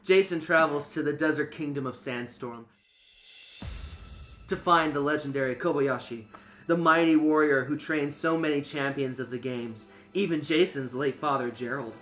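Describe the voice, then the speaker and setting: Male story narrator: somewhat high voice, reading fantasy prose, music underneath. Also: moderate pace, a bit bright.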